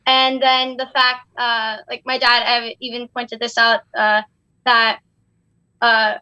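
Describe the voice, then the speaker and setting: A woman's voice: a little high, little pitch movement, takes it slowly, sharp tone. A young woman in casual, opinionated chat with a hesitant, rambling delivery. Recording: dull, roomy sound.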